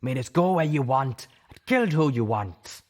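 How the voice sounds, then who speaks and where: A man voicing a scowling, bitter monster dog in an audiobook, gruff and exasperated in manner. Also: mid-range voice, lively intonation, normal speed, pitched above the performer's own voice, lightly acted. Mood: bitter, resentful. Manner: gruff, foul-mouthed, exasperated.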